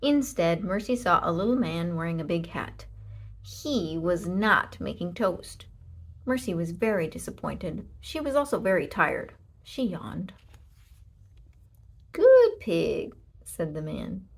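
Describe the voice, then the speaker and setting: A woman reads a children's story aloud at an unhurried pace. Her pitch moves up and down a great deal, and her voice has a husky, breathy grain. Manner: expressive, deliberate storytelling with emphatic short sentences. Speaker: storybook reader. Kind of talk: children's read-aloud narration.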